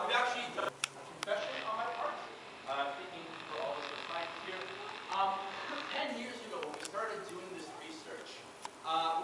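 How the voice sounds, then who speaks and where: Male presenter with a fairly high voice and chatty lecture delivery, recorded from far off in a noisy hall. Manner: chatty, many filler "uh"s and "right?" checks. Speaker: researcher presenting at a convention panel. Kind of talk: conversational lecture. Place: large convention panel room.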